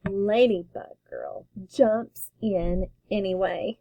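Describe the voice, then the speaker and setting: A woman teacher reading a children's picture book aloud, with slow, expressive storytelling. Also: medium pitch, no echo, narrow, tinny audio.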